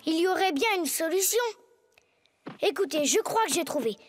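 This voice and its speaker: A woman voicing a plucky, quick-thinking schoolboy hero in an animated cartoon, with eager, youthful delivery. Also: very high voice, over music. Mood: scheming. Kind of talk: French animated cartoon dub.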